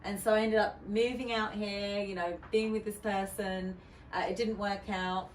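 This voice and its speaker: A woman's voice: mid-range voice, fairly even intonation, moderate pace. Woman dj chatting on a podcast, candid, loads of "like", mic a bit far off, roomy. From United Kingdom. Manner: candid, chatty, many fillers and restarts. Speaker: guest DJ. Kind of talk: casual podcast interview. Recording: roomy, distant mic with faint noise.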